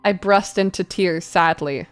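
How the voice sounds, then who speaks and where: A woman's voice: fairly low pitch, unhurried, soft background music. A woman hosting a mock-dramatic reading of fanfiction, playful and theatrical, with casual asides. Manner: playful, theatrical, spells out misspellings. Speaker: host reading fanfiction aloud.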